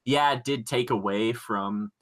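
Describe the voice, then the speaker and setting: A man co-hosting a podcast in casual chat, pitching his ideas in an excitable, slangy, rambling way. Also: unhurried. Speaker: podcast co-host.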